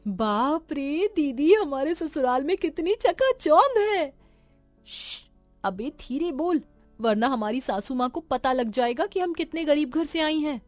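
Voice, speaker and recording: A woman's voice: sits high, animated, unhurried, dry recording, soft background music, pitched above the performer's own voice. A woman voicing a brash, discontented young village daughter with an eager, whiny manner. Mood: boastful.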